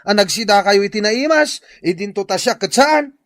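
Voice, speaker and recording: A man's voice: high voice, lively intonation, moderate pace, a bit bright, no room sound. A man with an Ilocano accent playing a lazy, self-pitying husband in a radio drama. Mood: indignant. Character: lazy, whining, self-pitying husband who shirks work. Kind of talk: Filipino radio drama acting.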